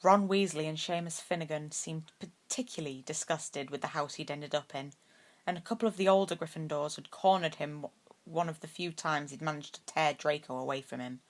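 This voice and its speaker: A woman's voice: deep voice, steady tone, fairly quick. A woman reading scripted story narration as an amateur audiobook narrator.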